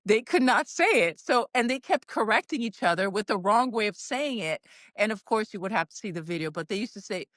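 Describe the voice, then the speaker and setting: A woman's voice: medium pitch, expressive, a little fast, a bit bright, no room sound, studio quality. A middle-aged woman whose first language is Portuguese, a guest wellness coach talking casually and encouragingly on a podcast, with frequent ums. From Brazil.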